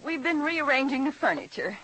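A woman playing a flustered, nervous mother in an old radio drama, talking in halting starts. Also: sits high, lively intonation, bright, forceful tone, slight background noise, rough recording. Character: flustered middle-aged mother, nervous, fussy. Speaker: mother of a bride. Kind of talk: 1950s radio drama acting.

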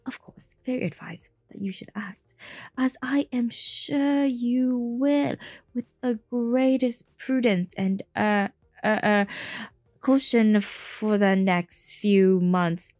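A woman voicing a smooth, evasive older male official who talks slowly and overly politely. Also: fairly high pitch, darkish tone, no echo. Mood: placating.

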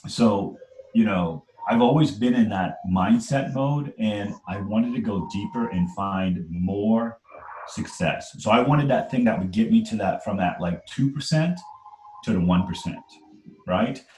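A man's voice: lowish voice, unhurried, darkish tone, reverberant, slight background noise, low-quality recording. A man in his forties from Trinidad, telling his life story as an interview guest in an earnest, emphatic way and often checking in with "right?". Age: 40s. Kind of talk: conversational storytelling.

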